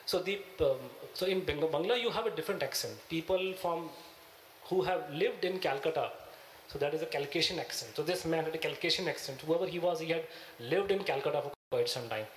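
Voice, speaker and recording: A man with a Punjabi accent, speaking as an interviewee and recounting events in a halting way as he searches for his words. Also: on the high side, echoey room, slight background noise, rough recording. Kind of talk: recounting, conversational.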